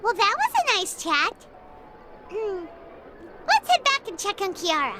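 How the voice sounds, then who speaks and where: A woman's voice: extremely high pitch, huge pitch swings, a little slow, loud music behind the voice, full character voice. A woman voicing a tiny, cheerful, childlike female companion creature in a video game, perky and exclamatory. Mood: thoughtful. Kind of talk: video game character dialogue.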